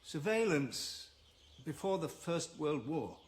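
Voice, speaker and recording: An actor playing a gruff, reclusive birdwatcher in a naturalistic radio drama, halting and curt but with a wistful streak. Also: male voice, fairly high pitch, sing-song. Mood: grudging, guarded.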